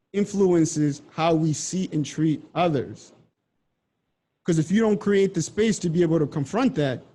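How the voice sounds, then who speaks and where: Seminar presenter: man from the Congo, slightly high voice, earnest, explains and repeats himself, on a video call. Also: a little mellow. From Democratic Republic of Congo. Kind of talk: conversational presentation.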